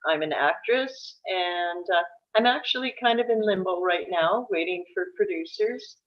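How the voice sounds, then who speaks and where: A woman's voice: fairly low pitch, normal speed. A mature woman with a Canadian accent casually introducing herself over a lo-fi online call.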